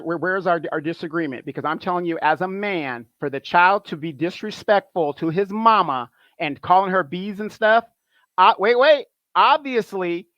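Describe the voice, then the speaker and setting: Animated man on a dull, dry line. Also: sits high, no room sound. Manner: animated, repetitive, emphatic. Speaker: guest. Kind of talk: casual opinion talk.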